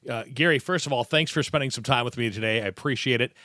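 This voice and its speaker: A man's voice: mid-range voice, fast, pushed and bright, no room sound. Male podcast host, casual and rambling, dry close sound. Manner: loose, rambling questions with restarts. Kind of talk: casual interview questions.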